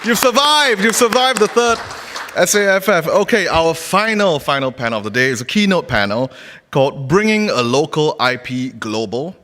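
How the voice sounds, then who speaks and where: A man's voice: fairly high pitch, moderate pace. Male emcee, upbeat stage patter, echoing conference hall. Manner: upbeat, lively introductions. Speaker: event emcee. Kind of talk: stage announcement. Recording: reverberant hall.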